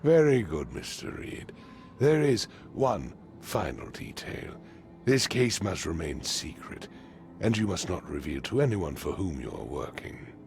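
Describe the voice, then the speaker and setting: A man playing a haughty, commanding patrician in a video game, with formal, pompous and deliberate phrasing. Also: sits low, big pitch swings, vocal fry, a little mellow, faint music behind, heavily acted. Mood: guarded, haughty. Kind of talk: video game cutscene acting.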